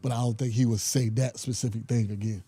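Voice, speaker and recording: A man's voice: mid-range voice, fairly even intonation, fast, mellow, slightly rough, no room sound. A man co-hosting a podcast, telling stories in loose casual chat with playful mock anger. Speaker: podcast co-host.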